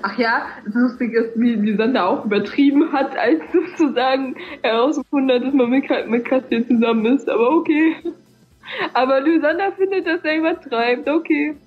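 A woman's voice: high-pitched, moderate pace, muffled recording, faint music behind. A young woman co-hosting a let's play, teasing and rambling through casual commentary.

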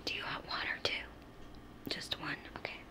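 A young woman whispering breathily in an ASMR roleplay as an attentive makeup consultant, soft and unhurried, recorded up close in a room at home. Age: young adult. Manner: soft, unhurried, attentive customer-service talk. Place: small furnished living room at home. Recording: noticeable background hiss and room noise.

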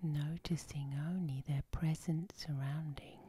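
Very mellow whispering woman, low and slow. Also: steady tone, a little hiss or hum. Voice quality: soft, whispery. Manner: slow, soothing, gentle. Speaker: hypnotherapist, channel host. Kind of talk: ASMR guided sleep hypnosis.

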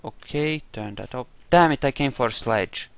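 A man with a clear, smooth, mid-pitched voice talks through a video game. He muses aloud casually, recorded dry and close. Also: normal speed, dry recording. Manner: musing, thinking aloud. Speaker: gamer playing a role-play playthrough. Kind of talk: casual gameplay commentary.

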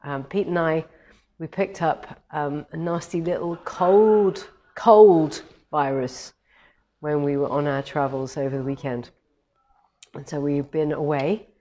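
A woman with a head cold, slightly hoarse, hosting a rambling, cheerful live-stream chat from a large quilting showroom. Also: low voice, steady tone, unhurried, mellow. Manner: chatty and cheerful, rambling with many ums. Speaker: quilting shop owner hosting a live demo. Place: large quilting showroom with longarm machines, indoors. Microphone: camera on a tripod a few metres away.

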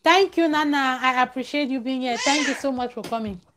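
Young woman, fairly high voice, casual live-stream chat, emphatic, repetitive phrasing. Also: fairly quick, background noise. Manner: emphatic, repeats phrases for stress. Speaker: vlogger, live-stream host. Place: small room at home, close to a plain wall. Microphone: clip-on lavalier at the collar.

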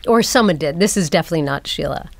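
A woman's voice: low-pitched, lively intonation, very fast, gravelly, no room sound. Woman playwright chatting casually. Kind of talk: casual interview answer.

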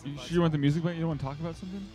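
Guy cohosting, kinda high voice, lively casual banter, music playing behind. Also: very fast. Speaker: co-host. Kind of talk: casual banter on a live show. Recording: loud music behind chatter.

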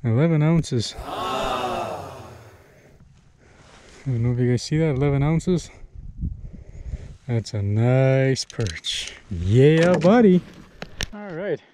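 A young man narrating a fishing vlog from a small boat on a lake, chatty and relaxed, thinking aloud with fillers. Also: mid-range voice, slow, long pauses. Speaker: fishing vlogger. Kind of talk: casual outdoor vlog narration. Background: outboard motor and water noise.

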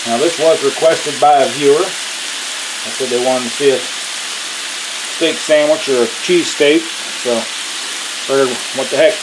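Folksy man over a sizzling griddle. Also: fairly high pitch. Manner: folksy, easygoing, talks while working. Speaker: cooking channel host. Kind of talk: casual cooking demo. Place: home kitchen at a griddle. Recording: loud sizzling griddle with hiss.

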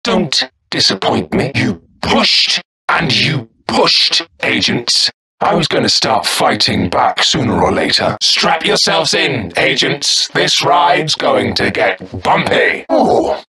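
A man's voice: medium pitch, very animated, slow, reverberant, long reverb tail, far from the mic, a loud crowd. A man voicing a vengeful robot arena announcer in a video game, taunting and mock-polite with gleeful menace. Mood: gleefully menacing.

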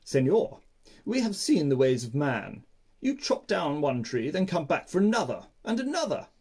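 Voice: mid-range voice, sing-song, moderate pace, a little mellow, slightly rough, voice pushed up. A storyteller voicing a chattering troop of monkeys in a storybook. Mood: worried.

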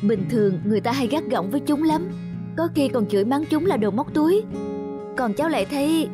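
A woman's voice: high voice, lively intonation, fairly quick. A woman voicing a polite, respectful young man in a cartoon, speaking deferentially. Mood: friendly. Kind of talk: cartoon dubbing. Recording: music behind the voice.

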